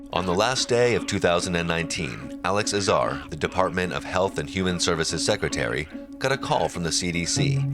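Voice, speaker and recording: Very deep-voiced male documentary narrator.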